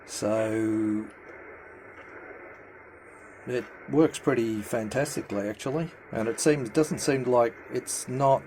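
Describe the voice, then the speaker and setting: A man giving an unscripted hands-on demonstration as a hobbyist, speaking haltingly with many pauses. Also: mid-range voice, darkish tone, a little hiss or hum, poor audio. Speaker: amateur CB radio hobbyist presenter. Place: indoor room at home with radio gear.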